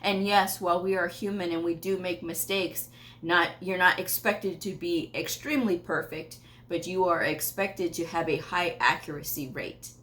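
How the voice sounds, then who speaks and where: A woman in her thirties speaks straight to camera from a small home office, giving career advice in a slightly low voice. She is firm and emphatic, driving her points home by repeating them, with an occasional laugh. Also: fairly even intonation, moderate pace, fairly bright tone. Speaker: medical coding educator.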